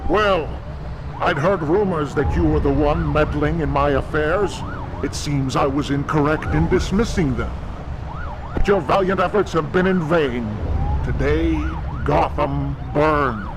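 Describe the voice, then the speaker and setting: Mastermind villain, male actor: menacing, pompous, unhurried, raised pitch, city noise and sirens. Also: lightly acted. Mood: gloating menace. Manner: formal, pompous. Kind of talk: video game cutscene acting.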